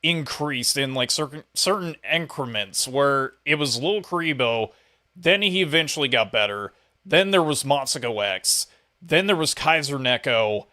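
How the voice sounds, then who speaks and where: A man's voice: medium pitch, expressive, normal speed, pushed and bright. A man hosting a casual, conversational podcast interview.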